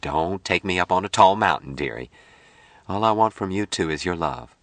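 A man as a soft, solemn, motherly devout lady. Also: low-pitched, lively intonation, moderate pace, dry recording. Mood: gently refusing. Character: kindly, devout middle-aged tattooed lady, motherly and earnest.

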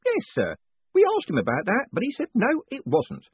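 A chummy, rambling prison warden, put on by a male narrator, pitched well above the narrator's own voice and bouncing all over the place. He sounds cheerfully deferential, with a husky edge. The sound is thin and phone-like. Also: wildly swooping pitch, moderate pace, full character voice. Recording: tinny, phone-like dry sound.

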